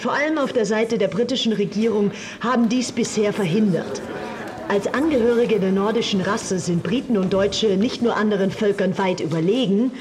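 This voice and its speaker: Measured mid-pitched woman reading a script. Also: darkish tone.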